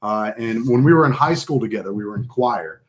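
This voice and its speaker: A man with a deep voice, casually hosting a fantasy football draft stream, joking and rambling with lots of fillers. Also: lively intonation, brisk. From Texas. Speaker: fantasy football league host. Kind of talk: casual live stream chat.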